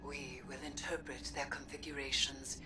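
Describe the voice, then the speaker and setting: An actress playing a solemn, cryptic witch in a video game, speaking deliberately, like an incantation, often near a whisper. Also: very deep voice, flat delivery, recorded from a distance, over music. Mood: mysterious, reverent. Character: cryptic, solemn witch versed in dark magic. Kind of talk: dramatic video game narration.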